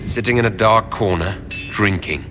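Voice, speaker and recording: An actor playing a stern, blunt fantasy inquisition leader with a commanding manner in a video game. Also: male voice, lowish voice, moderate pace, pushed and bright, a little hiss or hum.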